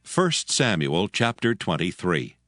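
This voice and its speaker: A man narrating scripture from a script in a solemn, measured way. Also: low voice, big pitch swings, sharp tone, no room sound, studio quality, soft background music.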